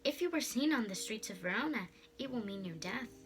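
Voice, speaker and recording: A woman's voice: fairly high pitch, animated, fairly quick, faint music behind, lightly acted. A woman voicing an urgent, impatient young nobleman and loyal friend in a storybook. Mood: urgent warning. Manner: urgent, forceful.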